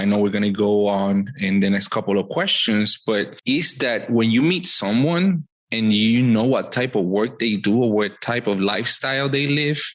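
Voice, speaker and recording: A man's voice: fairly low pitch, a bit bright. Man talking earnestly. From Puerto Rico. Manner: earnest, reflective, uses fillers. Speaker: podcast guest. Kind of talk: casual interview talk.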